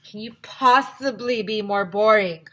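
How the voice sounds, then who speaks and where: Woman as a sharp-tongued, conspiratorial schoolgirl. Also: mid-range voice, a little slow, pitched above the performer's own voice. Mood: mocking.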